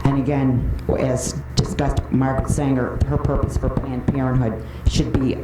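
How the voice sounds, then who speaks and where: A woman delivers a prepared public comment with an earnest, opinionated manner. She is picked up from a distance in an echoey meeting room, with clear noise in the recording. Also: extremely low pitch, level tone, moderate pace. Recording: roomy, noisy, distant microphone with clicks.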